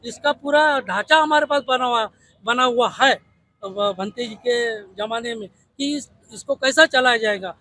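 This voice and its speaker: A man's voice: extremely high pitch, measured pace, sharp tone, no room sound. A man with a Maharashtrian accent speaking as an activist in an impassioned interview, insistent and fond of repeated rhetorical questions. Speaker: protest leader and party activist.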